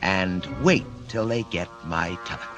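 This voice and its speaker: Smug, melodramatic radio villain, swooping delivery. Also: male voice, sits low, expressive, moderate pace. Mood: smug, scheming. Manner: theatrical, precise, sardonic. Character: smug, scheming male criminal mastermind giving orders. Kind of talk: 1940s radio serial acting. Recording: vintage 1940s radio recording, loud music behind.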